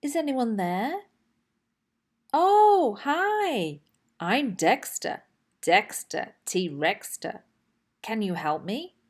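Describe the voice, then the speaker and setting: A woman voicing an excitable, anxious and boastful toy dinosaur in a children's storybook, with a childlike, dramatic delivery. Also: a little high, very slow, pauses often, full character voice. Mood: eager, searching. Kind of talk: children's storybook read-aloud.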